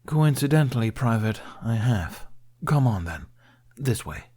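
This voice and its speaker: A man voicing a seasoned, dryly formal Jedi master with a measured manner in an audiobook. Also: mid-range voice, sing-song, darkish tone, husky, pitched above the performer's own voice. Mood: calm, assured. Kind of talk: audiobook character dialogue.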